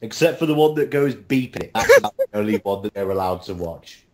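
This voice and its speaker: A man's voice: lowish voice, lively intonation, brisk, a little mellow. A man chatting casually in an interview with a jokey, bantering manner. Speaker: voice actor, cast member. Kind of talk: casual chat.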